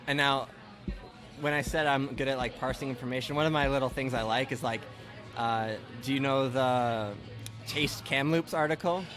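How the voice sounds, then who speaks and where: Podcast host from Ontario, bright crisp voice, casual rambling delivery full of restarts. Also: male voice, medium pitch, steady tone, moderate pace, faint music behind. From Ontario, Canada. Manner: rambling, many restarts and "like", "right?". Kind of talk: casual conversational interview.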